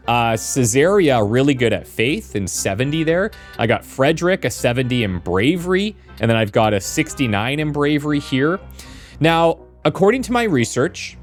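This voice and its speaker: A man giving casual let's play commentary as a video game streamer. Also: medium pitch. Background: game music.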